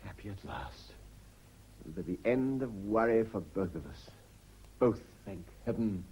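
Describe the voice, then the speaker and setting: A guilt-ridden husband in a radio play, with a wide, restless range of pitch and a slightly husky edge. He is earnest and stammers, moving between remorse and elation. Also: expressive, unhurried, warm tone, exaggerated voice, poor audio. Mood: wistful, hushed. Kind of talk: British radio drama acting.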